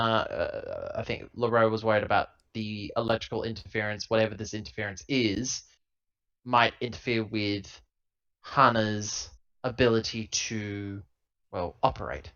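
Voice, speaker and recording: A man's voice: lowish voice, fairly even intonation, moderate pace, a bit bright. A man chatting casually as a player in a tabletop roleplaying game, on muffled lo-fi audio.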